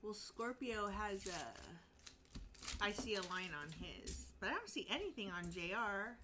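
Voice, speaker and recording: A woman's voice: medium pitch, sing-song, a little slow, music in the background. A woman co-hosting a live stream, giggling as she reads viewer comments aloud. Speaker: co-host reading out viewer chat. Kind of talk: casual live-stream banter.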